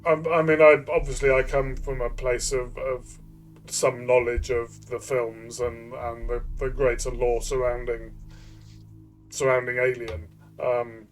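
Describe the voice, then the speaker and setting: A man of average pitch talks through a tabletop game review in a casual, explanatory way, with faint music behind him. He is tentative and halting, often repeating words as he builds a sentence. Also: level tone, low-quality recording.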